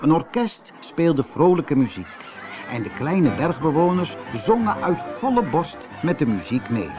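A man narrating a fairy tale for children as an audiobook reader, in an expressive storytelling manner. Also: a little high, unhurried, music in the background.

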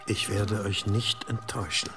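A man's voice: lowish voice, little pitch movement, moderate pace, lightly acted. An actor playing an obedient, uneasy monk in a German radio drama, formal and cautious toward his superior. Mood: eager to obey. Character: deferential, uneasy monk serving a high churchman, male. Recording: loud music bed.

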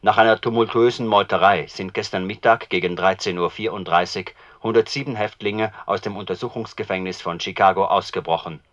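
A man's voice: on the low side, fairly bright tone, close to an everyday voice. An actor playing a formal, matter-of-fact male radio newsreader, with precise official delivery and a dull radio sound. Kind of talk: news bulletin in a radio play.

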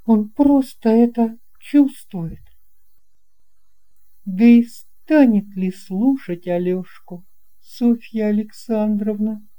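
A woman reading a children's story expressively, with deliberate phrasing and dramatic pauses. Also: mid-range voice, mellow. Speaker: audiobook reader.

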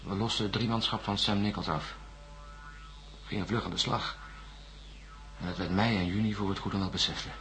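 A haunted, distrustful astronaut in a science-fiction radio drama. His low voice is forceful and edged, with curt, defensive delivery. Faint music is behind him. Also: steady tone, a little fast, pauses often. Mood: curt, guarded. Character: bitter, distrustful male astronaut under psychiatric questioning.

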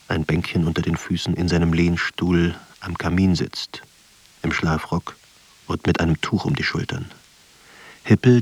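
A male actor as narrator, reading sombre scene descriptions in a radio drama with a husky voice. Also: low voice, a little mellow, exaggerated voice. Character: sombre storyteller setting a sickroom scene.

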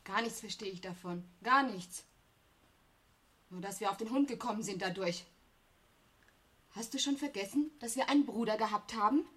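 A woman's voice: mid-range voice, moderate pace, pauses often, breathy edge, close to an everyday voice. An actress playing a proud, aggrieved young working woman, emphatic and reproachful, in a radio play. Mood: bitter. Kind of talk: German radio play acting.

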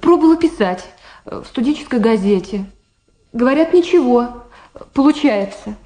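An actress playing a shy, polite, modest young student in a radio drama, speaking hesitantly and with reserve. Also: female voice, on the high side, lively intonation, normal speed, dark tone, lightly acted, rough recording. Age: young adult. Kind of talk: Soviet radio drama acting.

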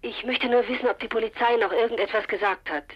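A woman's voice: a little high, fast talker, slightly rough, highs cut off. An actress playing a concerned, hesitant woman in love with a suspected man in a radio crime drama, anxious and questioning. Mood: concerned, cautious.

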